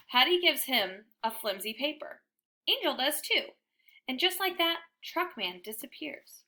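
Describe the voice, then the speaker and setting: A woman narrates a children's book with dramatic, deliberate phrasing. Her voice is fairly high and bright, and it moves through a wide range of pitch as she tells the story. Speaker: read-aloud storyteller.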